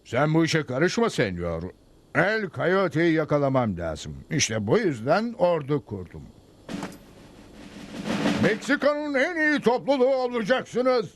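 High-pitched, blustering cartoon general. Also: male voice, very animated, a little slow, a little hiss or hum. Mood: commanding. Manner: bossy, blustering, barking orders. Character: pompous, self-important Mexican village officer playing general. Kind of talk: animated cartoon dub. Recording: music behind the voice.

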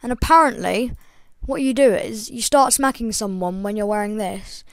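A young woman with a slightly croaky voice, hosting a casual game tutorial voice-over in a chatty, rambling way with lots of ums. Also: mid-range voice, normal speed, dry recording. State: croaky, voice breaking. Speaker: gaming channel host.

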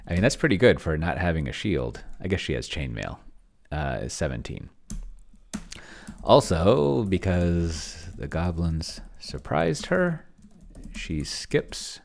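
Very low-voiced middle-aged man, gravelly. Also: a little hiss or hum. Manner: muttering and trailing off as he types. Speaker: host. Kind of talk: casual thinking-aloud screen-share commentary. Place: indoor home room at a desk.

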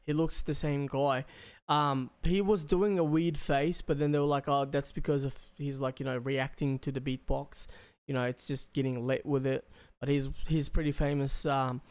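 A man's voice: mid-range voice, steady tone, normal speed, a little mellow, smooth. A young man chatting casually as a reaction video host, rambling with slang and swearing. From Australia. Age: young adult. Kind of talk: casual chat, reaction commentary. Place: small bedroom, indoors. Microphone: close desk microphone.

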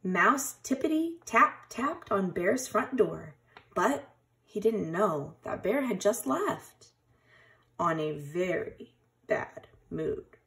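A woman reading a children's picture book aloud in an expressive, animated storytelling manner, like a teacher. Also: mid-range voice, slow.

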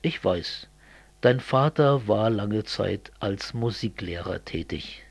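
A middle-aged man from East Germany speaking in a scripted political dialogue, didactic and deliberate. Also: on the low side, expressive, creaky, breathy edge, no room sound.

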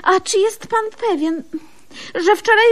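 A woman's voice: extremely high pitch, takes it slowly. An actress playing an adoring, meek, lovestruck woman in a 1970s radio comedy, gushing in a breathless, plaintive, theatrical way. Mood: devoted, soothing.

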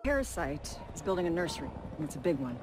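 An actress playing a serious, resolute special forces commander in a video game cutscene, with a clipped, authoritative delivery. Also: female voice, low voice, very animated, fast talker, background noise. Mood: grave, commanding. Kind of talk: cinematic video game dialogue. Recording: loud music under the voice.

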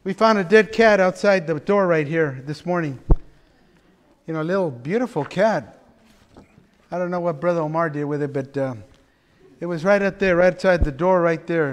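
A middle-aged pastor, preaching an animated sermon from a church pulpit with playful asides. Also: male voice, on the high side, moderate pace, long pauses. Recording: long reverb of a church hall.

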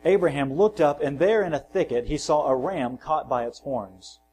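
A man preaching a sermon in a church, measured and plain, swelling on scripture and dropping softly at the ends of phrases, with self-deprecating asides. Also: a little high, soft background music. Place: church sanctuary.

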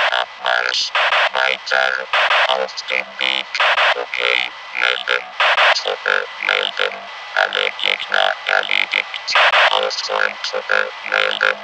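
A man's voice: a bass voice, creaky, full character voice, poor audio. A man voicing a cold, menacing military combat robot in a video game, giving clipped, emotionless reports through robotic processing. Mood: clipped, mechanical. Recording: loud electronic music behind the voice. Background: loud synthesizer music.

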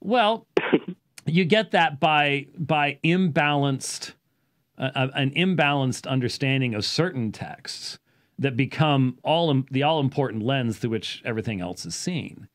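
A man in his fifties speaks in a medium-pitched voice, explaining things off the cuff like a teacher hosting a talk show. His delivery is deliberate and sometimes halting, with weight placed on the important words. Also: expressive. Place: small indoor study with bookshelves. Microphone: studio microphone on boom arm, close.

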